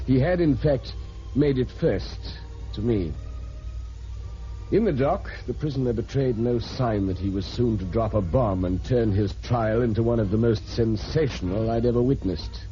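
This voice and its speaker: A man playing a cool, worldly court reporter, narrating a vintage radio drama in a dry, measured way. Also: medium pitch, warm tone, close to an everyday voice. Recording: muffled vintage radio recording.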